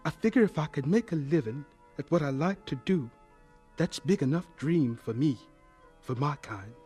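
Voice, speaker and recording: An actor playing a gentle, earnest young homesteader with dreams, speaking reflectively in a folksy radio drama manner. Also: male voice, fairly high pitch, expressive, moderate pace, soft background music, close to an everyday voice. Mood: wistful, earnest.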